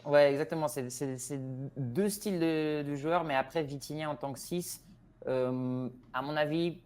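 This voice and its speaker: A man's voice: mid-range voice, a little mellow, slight background noise. A man with Porto roots giving his opinions in a casual football discussion, hesitant with many fillers and restarts. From Portugal (Porto roots). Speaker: expert guest on Portugal.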